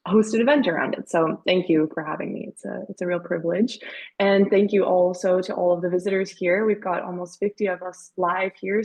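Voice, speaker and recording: Woman in her 30s, Dutch native speaker raised in Canada, gravelly and a bit husky, storytelling guest over a call. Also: on the low side, rough recording. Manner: warm storytelling, frequent fillers. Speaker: guest author. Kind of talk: webinar fireside chat.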